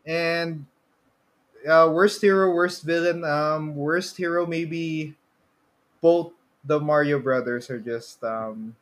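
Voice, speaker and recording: A man co-hosting a casual podcast chat, feeling out his phrases haltingly with repeated words. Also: fairly high pitch. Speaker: podcast co-host. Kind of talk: casual chat about movies and games.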